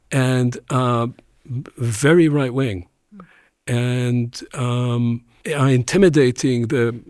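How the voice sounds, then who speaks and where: A man's voice: medium pitch, no room sound, studio quality. An older man, a professor speaking on a debate panel, reflective and hesitant with many fillers and restarts. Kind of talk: panel discussion.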